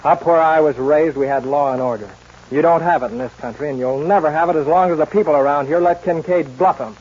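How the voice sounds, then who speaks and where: Young cowboy hero, slightly rough highish voice, blunt n fearless, old muffled radio western. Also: male voice, fairly quick, darkish tone. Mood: grim, resolute. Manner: firm, clipped, coolly defiant. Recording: muffled vintage radio recording.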